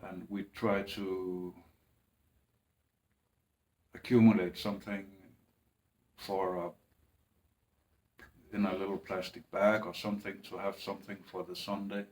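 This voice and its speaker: A man speaking in a halting, hesitant way, with restarts and long pauses, in a personal monologue. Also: deep voice, little pitch movement, a little mellow, low-quality recording. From Greenland. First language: Danish.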